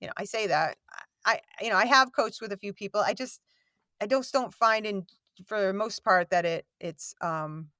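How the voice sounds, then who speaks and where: A woman voice actor chatting in an interview, rambling and self-deprecating, with a warm voice that has a slight gravel to it. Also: medium pitch, moderate pace, no echo, clean studio sound. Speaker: voice actor guest. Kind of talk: casual interview chat. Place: home recording booth.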